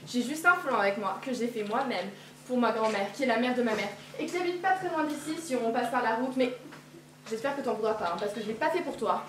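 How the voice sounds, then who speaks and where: A woman's voice: fairly high pitch, lively intonation, fairly quick, fairly bright tone, a little hiss or hum. A teenage girl playing a trusting little girl who chatters eagerly and repeats herself, in a school play. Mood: innocent. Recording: echoey hall from far off.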